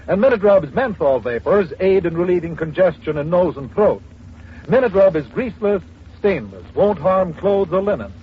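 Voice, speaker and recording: A man's voice: high voice, animated, normal speed, breathy edge. A man reading a scripted commercial as an announcer in formal, polished 1940s radio style. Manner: formal, polished selling delivery. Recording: muffled old radio, faint hiss.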